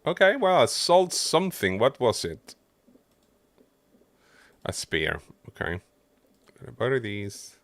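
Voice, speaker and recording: A man's voice: medium pitch, wildly swooping pitch, slow pace, long pauses, a bit bright, no room sound. A man doing casual livestream game commentary, muttering his running thoughts to himself as he plays. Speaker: game streamer.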